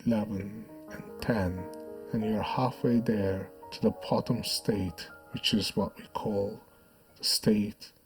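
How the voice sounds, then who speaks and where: A man speaking as a hypnotist, narrating a guided trance in a soothing, measured, hushed delivery with lingering pauses. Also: lowish voice, steady tone, soft background music.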